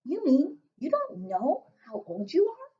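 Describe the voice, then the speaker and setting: A woman voicing a small, polite, curious young girl who speaks up high and sometimes stammers, in a storybook reading. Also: wildly swooping pitch, mellow, reverberant, highs cut off, full character voice. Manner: high, quick to apologize, hesitant stammers.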